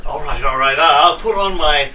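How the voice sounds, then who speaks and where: A man's voice: fairly high pitch, moderate pace, pushed and bright, far from the mic, slight background noise. A man playing a timid, flustered disgraced sea captain in a fantasy audio drama, hesitant and stammering. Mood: nervous, reluctant.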